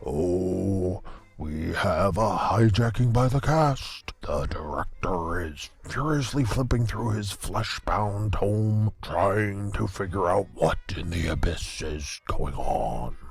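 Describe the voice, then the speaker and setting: An actor playing a deadpan small-town radio host, giving measured, folksy play-by-play of cosmic horror in an audio comedy. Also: male voice, deep voice, gravelly, breathy edge, exaggerated voice. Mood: intrigued, dramatic. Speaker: co-host and commentator.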